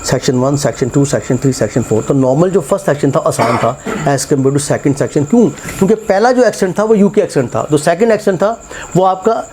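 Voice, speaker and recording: A man teaching English test skills, animated and full of rhetorical questions, mixing English phrases into his talk. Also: on the high side, fairly quick, noisy background. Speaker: English test tutor and institute head. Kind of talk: casual classroom interview and explanation.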